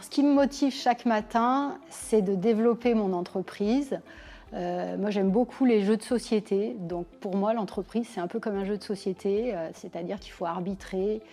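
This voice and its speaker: Mellow-voiced woman in her forties. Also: medium pitch. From Lyon, France. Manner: measured, thoughtful, frequent pauses. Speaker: business owner interviewee. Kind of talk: personal career account to camera.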